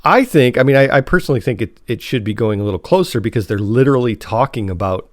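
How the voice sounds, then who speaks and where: A man hosting a casual podcast chat, talking in a halting way with repeated words and restarts. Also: mid-range voice, animated, no echo, clean studio sound. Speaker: podcast host and editor.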